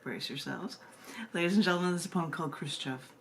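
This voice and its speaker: A woman's voice: lowish voice, fast. American woman poet, noisy live recording. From United States. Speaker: poet reading own work. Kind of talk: live poetry reading with informal spoken introductions. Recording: noticeable background noise and room ambience.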